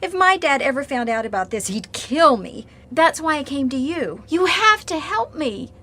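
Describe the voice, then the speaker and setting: Rich teenage coed for radio play: high, sharp, pushy, impatient. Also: female voice, very animated, normal speed, heavily acted. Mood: desperate, insistent. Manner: petulant, pushy. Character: spoiled, impatient nineteen-year-old rich college girl. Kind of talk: radio drama acting.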